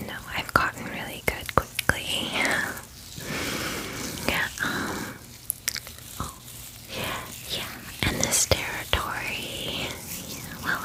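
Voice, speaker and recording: A woman voicing a friendly, bubbly young dragon in a gentle ASMR roleplay, whispering softly and chattily, close to the microphone. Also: close to an everyday voice, poor audio. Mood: chummy, confiding. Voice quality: soft, breathy whisper. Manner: gentle, chatty, unhurried, affectionate. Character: friendly, bubbly young female dragon pampering a human guest. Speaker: ASMR performer. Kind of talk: ASMR fantasy roleplay, whispered personal attention.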